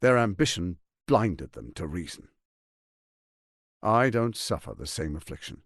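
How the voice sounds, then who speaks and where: An actor playing a scheming, menacing fantasy tyrant in a video game, silky, grandiose and condescending, speaking with theatrical deliberation. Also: male voice, sits low, big pitch swings, measured pace, a bit bright, no room sound, studio quality, heavily acted. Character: scheming, smooth, menacing male tyrant lord and political mastermind.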